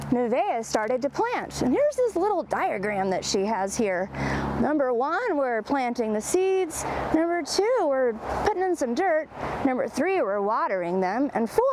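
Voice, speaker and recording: A middle-aged woman hosting a children's storytime and reading a picture book aloud in an animated, encouraging way. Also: sits high. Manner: animated, exclaiming, encouraging, simple phrasing for young children. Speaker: library storytime host. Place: outdoors in a leafy garden. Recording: clear outdoor background noise.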